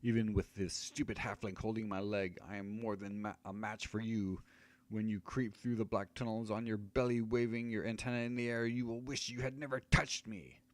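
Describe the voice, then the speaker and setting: A man's voice: on the low side, level tone, moderate pace, pitched above the performer's own voice, lightly acted. A man voicing a cruel, gloating evil wizard who hisses and sneers at his captives. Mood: menacing. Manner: hissing, sneering.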